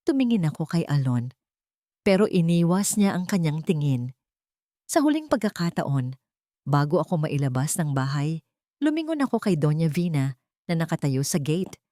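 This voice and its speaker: A woman's voice: low-pitched, darkish tone, no echo, clean studio sound. A woman reading scripted dramatic narration as a storyteller.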